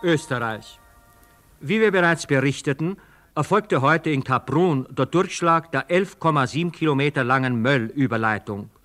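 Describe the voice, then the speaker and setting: Radio play: a formal, declamatory news announcer with a bright, penetrating voice. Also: male voice, on the high side, expressive, normal speed, soft background music. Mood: solemn, proud. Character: solemn radio newsreader announcing a national feat.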